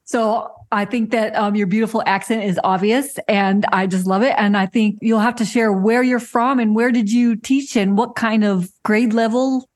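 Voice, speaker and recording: A woman hosting a podcast, asking an interview guest questions in a warm, complimentary way. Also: on the high side, steady tone, moderate pace, hardly any pauses. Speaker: podcast host.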